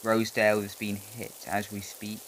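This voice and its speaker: A man's voice: lowish voice, monotone, moderate pace, fairly bright tone, natural voice. A man voicing an emergency weather announcer, urgent and stern, stumbling and restarting as he reads out a warning over rain noise.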